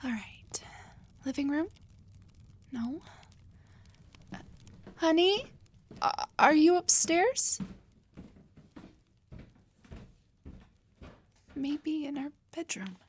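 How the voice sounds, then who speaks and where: A woman playing a loving, protective elf wife in an intimate roleplay audio, speaking tenderly and reassuringly in a breathy whisper. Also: sits high, animated, slow, pauses often, a little hiss or hum. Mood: searching, uneasy.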